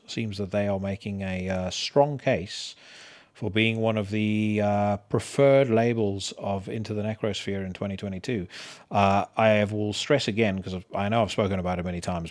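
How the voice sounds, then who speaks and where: A middle-aged man from South Africa with a South African accent, hosting a podcast in casual, rambling chat, swearing freely and restarting often. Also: lowish voice. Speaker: podcast host.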